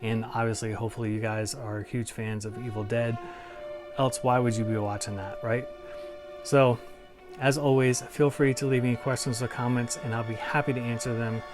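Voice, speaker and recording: A man's voice: medium pitch, level tone, normal speed. A man hosting a collectibles review, chatting informally to viewers as an enthusiastic fan. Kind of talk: casual chat to viewers. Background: music.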